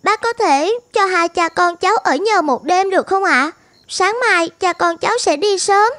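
A woman's voice: extremely high pitch, normal speed, fairly bright tone, no room sound. A woman voicing a devoted, determined fifteen-year-old girl in a cartoon, polite and earnest. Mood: earnest pleading. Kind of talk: animated film dubbing. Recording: music behind the voice.

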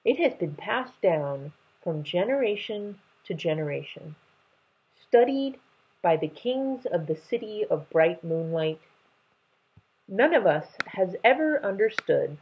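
A woman's voice: lowish voice, highs cut off, a little hiss or hum. Woman reading as a kindly, emphatic king. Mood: earnest. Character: kindly, regal male king telling family history.

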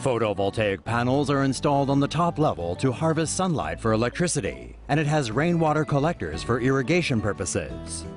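A man reading a scripted news voice-over in a lively, wry manner. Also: mid-range voice. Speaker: news narrator.

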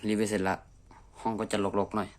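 A man's voice: on the low side, level tone, fast talker. Casual man, noisy home recording. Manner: informal, thinking aloud. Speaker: amateur manga reviewer. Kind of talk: casual unscripted review chat. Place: messy room indoors.

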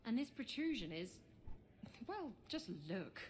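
An actress playing a flirtatious, irreverent female seafaring adventurer in a video game, with a teasing, unhurried delivery. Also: on the high side, sing-song. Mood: amused, teasing. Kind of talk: video game dialogue acting.

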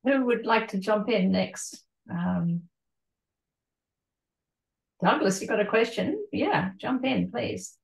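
A woman's voice: mid-range voice, lively intonation, takes it slowly, creaky, long pauses. An older woman moderating a panel on a video call, briefly inviting speakers in. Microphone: video call device. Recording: echoey sound.

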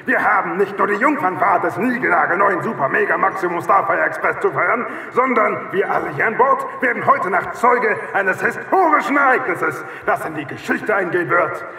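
Guy doing a pompous captain speech, high bright voice, big echoey hall pa. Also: animated, moderate pace, few pauses, full character voice. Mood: ceremonious. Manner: pompous, formal. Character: proud, ceremonious male train captain giving a speech. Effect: hall loudspeakers. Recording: echoey hall reverb, distant PA sound.

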